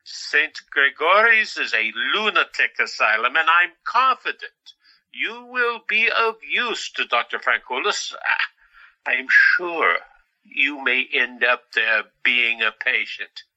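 An actor playing a stern, dismissive senior doctor who secretly cares, in an audio drama. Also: male voice, mid-range voice, expressive, sharp tone, dull audio, full character voice. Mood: brisk, wry. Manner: stern, measured.

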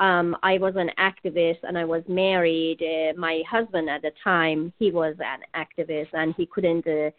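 A middle-aged woman from Iran with an Iranian accent, a native Persian speaker, telling her life story haltingly as an interview guest. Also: fairly low pitch. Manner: halting, searching for words. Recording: narrow tinny phone-line sound.

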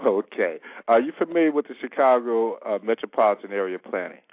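A man co-hosting a call-in radio show as interviewer, asking rambling, halting questions full of fillers. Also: lowish voice, expressive, dry recording. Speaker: radio co-host and newspaper reporter. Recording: dry, tinny phone line.

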